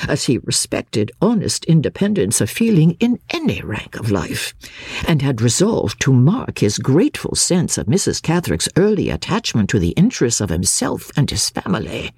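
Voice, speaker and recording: A woman reading a classic novel dramatically as a formal, judicious Victorian male lawyer, with deliberate, expressive phrasing. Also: very deep voice, breathy edge, no room sound, exaggerated voice.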